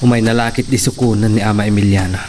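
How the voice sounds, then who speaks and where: A man's voice: lowish voice, fairly even intonation, fast, lightly acted. An actor playing an earnest, concerned working man in a radio drama.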